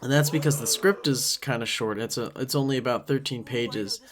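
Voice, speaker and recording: A man talks in an unscripted, reflective way as a creator commenting on his own work. His pitch sits in the middle for a male voice. He rambles a little and is frank about what could be better. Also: moderate pace, fairly bright tone. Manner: rambling, reflective, self-critical. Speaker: creator giving commentary on own animated parody series. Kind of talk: casual unscripted director's commentary. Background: episode audio with cartoon voices playing under the talk.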